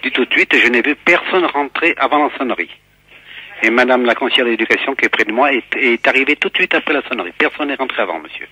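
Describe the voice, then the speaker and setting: A middle-aged man from the south of France, a school caretaker, speaking politely and obligingly on the phone, repeating himself haltingly. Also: medium pitch, bright, forceful tone, husky, poor audio. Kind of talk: phone conversation.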